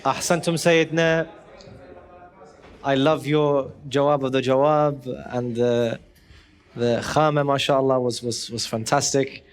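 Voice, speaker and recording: A man judging a recitation competition, giving warm, praising feedback to a performer. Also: medium pitch, steady tone, normal speed. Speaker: competition judge.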